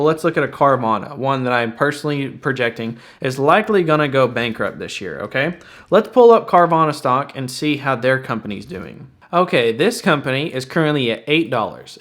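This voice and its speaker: A man's voice: mid-range voice, fairly bright tone. A man in his twenties hosting an explanatory finance video, speaking plainly and casually and repeating phrases for emphasis. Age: 20s. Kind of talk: explanatory investing commentary to viewers.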